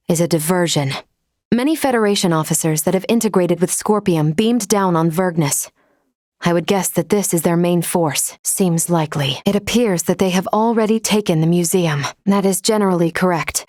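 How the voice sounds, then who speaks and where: A woman playing a logical, composed female android officer in a video game, speaking formally and precisely in a measured, unemotional way. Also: on the low side, a little mellow, no echo, clean studio sound, close to an everyday voice. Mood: calm, explanatory.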